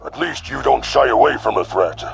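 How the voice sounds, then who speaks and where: A man voicing an arrogant, contemptuous alien predator warlord in a sci-fi audio drama, with a gravelly growl and a boastful, sneering manner. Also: mid-range voice, lively intonation, moderate pace, voice pushed down.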